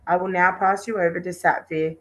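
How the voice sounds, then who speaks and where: A woman's voice: on the low side, fairly even intonation, a little fast, fairly bright tone. A woman student presenter reading out a rehearsed talk from a script, carefully, with the occasional stumble or repeat.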